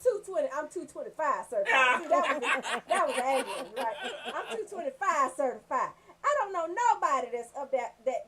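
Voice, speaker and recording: A woman with a Southern Atlanta accent hosting comedic radio banter, telling stories in an animated, emphatic way. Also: high voice. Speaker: radio show host.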